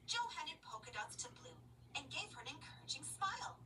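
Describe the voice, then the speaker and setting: A woman reads a children's story aloud with expression. Her pitch is very high and moves in a lively way. She is recorded some way from the microphone, with clear background noise. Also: a little fast. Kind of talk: expressive read-aloud of a children's picture book. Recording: noisy, distant home microphone.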